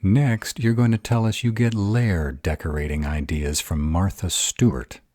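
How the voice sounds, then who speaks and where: A man playing an arrogant, calculating vampire in an audio roleplay, measured and formal. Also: low voice, steady tone, darkish tone, dry recording, clean studio sound. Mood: exasperated. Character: arrogant male vampire, composed, calculating strategist.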